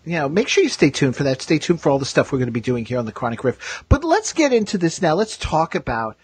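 A man hosting a casual discussion, chatty and thinking out loud as he goes. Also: on the high side, big pitch swings, fast, breathy edge, dry recording. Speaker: podcast host.

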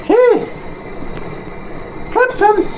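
Very high, squeaky little hedgehog. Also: female voice, steady tone, very slow, dull audio, heavily acted. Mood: startled, worried. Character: eager little male hedgehog ship's mate. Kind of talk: German children's radio play acting. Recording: noisy, engine and storm ambience.

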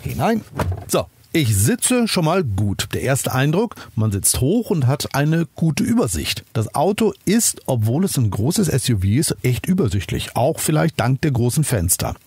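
A man's voice: medium pitch, very animated, unhurried, a little mellow, slight background noise. A man hosting a car podcast, narrating a test drive in an upbeat, chatty way and talking straight to the listener. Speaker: podcast host, car reviewer. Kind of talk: scripted car review narration.

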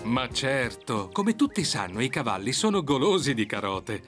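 Animated male cartoon storyteller. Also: mid-range voice, moderate pace, soft background music. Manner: storyteller, playful. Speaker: cartoon narrator. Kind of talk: scripted children's story narration.

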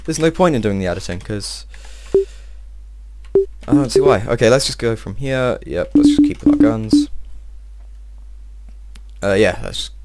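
Excitable man narrating his gameplay. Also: medium pitch, a little slow, long pauses, very noisy. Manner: excitable, swears often, talks to himself while playing. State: slightly strained voice. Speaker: gameplay video host. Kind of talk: casual let's-play commentary.

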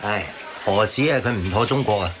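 A man's voice: lowish voice, brisk, a bit bright, a little hiss or hum, natural voice. An actor in a radio drama playing a well-informed man explaining the news, with a Hong Kong Cantonese accent. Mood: explanatory.

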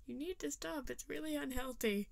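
Woman doing a gentle, high cartoon cup boy. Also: moderate pace, vocal fry. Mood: concerned.

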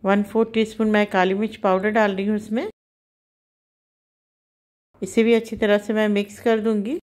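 Calm woman narrating a cooking demo. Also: medium pitch, moderate pace. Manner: calm, instructive, narrates each action as she does it. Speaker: cooking channel host. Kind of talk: step-by-step cooking voice-over.

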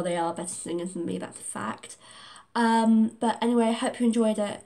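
A woman's voice: mid-range voice, darkish tone, roomy sound. A teenage girl with a bad cold, chatting casually and self-deprecatingly in her living room before and after singing. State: congested from a cold. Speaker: vlogger singing a cover. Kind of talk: casual chat and a cappella singing. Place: living room at home, indoors.

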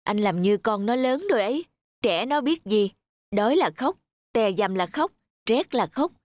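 High-voiced, patient young mother played by an actress. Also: lively intonation, normal speed, a little mellow, no room sound, lightly acted. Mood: mildly chiding. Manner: soothing, maternal. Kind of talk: cartoon drama dubbing.

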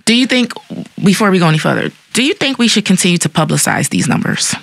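A woman's voice: medium pitch, very animated, quick pace, gravelly. A woman hosting an interview in casual talk, with many short backchannels and laughs. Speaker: podcast host. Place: small studio with brick wall.

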